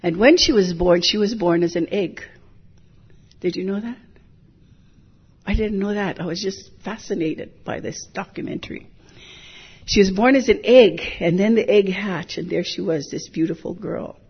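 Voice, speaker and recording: Older woman, husky voice, thoughtful unhurried lecture, muffled lo-fi sound. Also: medium pitch. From Hilo, Hawaii. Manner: measured, thoughtful, digressive. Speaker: hula teacher giving a talk. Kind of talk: reflective public talk. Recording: dull lo-fi recording.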